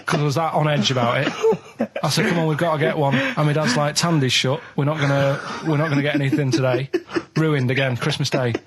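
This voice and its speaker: Male radio sidekick, higher-pitched voice, colloquial and matter-of-fact anecdote delivery. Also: a little fast, a little hiss or hum. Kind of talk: casual anecdote on a radio show.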